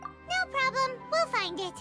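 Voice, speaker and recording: Tiny squeaky game fairy, super high and bouncy, curious and blunt, music playing behind. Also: female voice, sing-song, a little slow, bright, forceful tone, heavily acted. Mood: chirpy, busy. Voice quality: squeaky, childlike. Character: small childlike fairy companion, curious, chirpy and blunt. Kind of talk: English video game voice acting.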